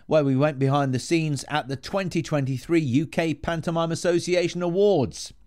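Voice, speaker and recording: A man's voice: fairly high pitch, a little mellow. A man hosting a podcast, presenting a prepared introduction and putting questions to guests. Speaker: podcast host. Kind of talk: scripted podcast introduction and interviewing.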